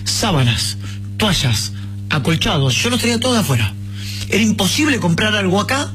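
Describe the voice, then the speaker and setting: A man's voice: sits high, sing-song, moderate pace, fairly bright tone, faint music behind. A man with an Argentine Rioplatense accent hosting a radio interview, chatty and telling personal anecdotes. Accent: Rioplatense Spanish. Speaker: radio host.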